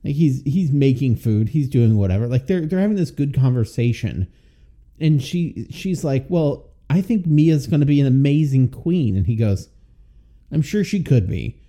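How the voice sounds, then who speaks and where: Dark-voiced man in a chatty movie recap. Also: mid-range voice, animated, moderate pace. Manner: chatty, quotes scenes in playful voices. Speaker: podcast co-host. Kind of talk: casual movie recap chat.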